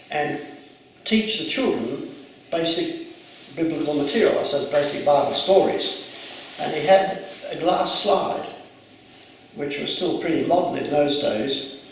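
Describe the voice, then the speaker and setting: A retired clergyman in his eighties, first raised speaking Arabic, looks back on his childhood in a calm, measured way. His voice is somewhat high and gravelly. It sounds far from the mic in a very echoey room with a faint hiss. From Jerusalem, Mandatory Palestine. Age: 80s. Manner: measured, reflective storytelling. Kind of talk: oral history recollection.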